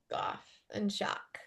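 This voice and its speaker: A woman reading script stage directions as understated narration. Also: sharp tone.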